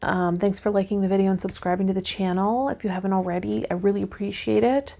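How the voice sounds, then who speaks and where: A woman's voice: medium pitch, fairly even intonation, fast talker, gravelly, dark tone. A woman hosting a spiritual vlog, giving tarot guidance and speaking directly to her viewers. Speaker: tarot vlog host and healer. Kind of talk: spiritual guidance, part read aloud, part casual talk to viewers.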